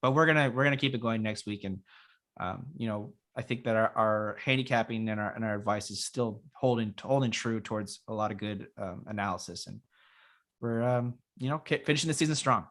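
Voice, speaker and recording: A young man co-hosting a casual fantasy football show, his voice raspy from being sick, talking in a relaxed, rambling way with many fillers. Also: lowish voice, fairly quick. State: raspy after illness. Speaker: podcast co-host. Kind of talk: casual sports chat.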